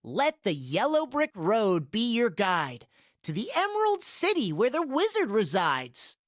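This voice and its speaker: A woman's voice: on the high side, huge pitch swings, a little slow, no room sound, studio quality. Sing-song woman narrating rhymes. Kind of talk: scripted rhyming storybook narration.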